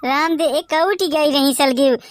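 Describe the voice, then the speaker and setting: A man with a Bagheli accent voicing a nagging, sharp-tongued village wife who curses and scolds. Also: extremely high pitch, a little slow, soft background music, pitched above the performer's own voice. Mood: complaining. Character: shrill scolding village wife running for office.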